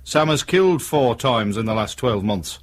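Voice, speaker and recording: An actor with an English accent playing a methodical police detective in a radio drama, measured, dry and matter-of-fact. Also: male voice, mid-range voice, lively intonation, lightly acted. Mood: grave, formal. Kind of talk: naturalistic radio drama acting.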